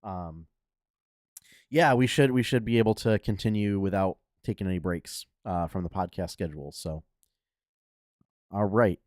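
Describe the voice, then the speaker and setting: A young adult man hosting a casual gaming news podcast, talking in a relaxed way with lots of fillers, a little nasal from a cold. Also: deep voice, normal speed, pauses often, dry recording, clean studio sound. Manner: laid-back, many fillers and restarts. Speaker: podcast host.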